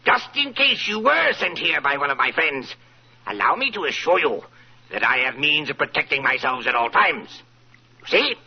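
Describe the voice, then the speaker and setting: Husky, animated paranoid old tycoon. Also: male voice, a little high, moderate pace, full character voice. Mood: self-assured, wary. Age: older. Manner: theatrical, grandly wordy, repeats himself for emphasis. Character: wealthy, paranoid, self-satisfied old businessman. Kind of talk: 1940s radio acting. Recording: muffled vintage radio recording.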